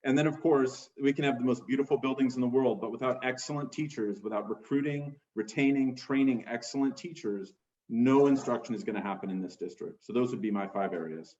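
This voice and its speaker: A middle-aged man running for school board, giving a measured, organized opening statement over a video call. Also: medium pitch, darkish tone, reverberant, muffled recording. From United States.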